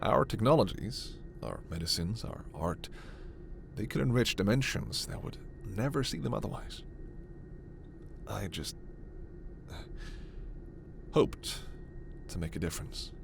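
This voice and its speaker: Man playing a gentle, low, creaky alien scientist. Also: animated, a little slow, pauses often, background noise. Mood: earnest, wistful. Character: gentle, earnest male alien scientist reassuring a human. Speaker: voice actor. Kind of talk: ASMR sci-fi roleplay acting.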